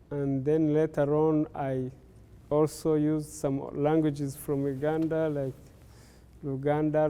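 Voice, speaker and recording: An older man from Rwanda, a native Kinyarwanda speaker, tells his life story in a slow, unhurried way, pausing often. His voice is mellow and smooth, a little higher than most men's. The recording carries a long hall reverb. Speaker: interviewee.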